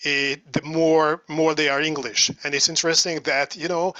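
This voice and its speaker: A man's voice: a little high, sharp tone, no echo, highs cut off. A man asking a long, earnest question in an online academic seminar over a video call. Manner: earnest, argumentative, piles up figures.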